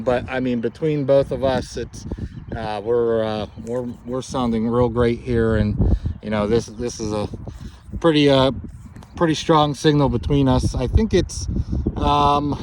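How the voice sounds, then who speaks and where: Male radio hobbyist, mid-range voice, hesitant conversational delivery, taped outside with noticeable noise. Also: medium pitch. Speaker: amateur radio operator. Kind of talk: casual radio contact chat. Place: outdoors. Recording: outdoor recording with clear background noise.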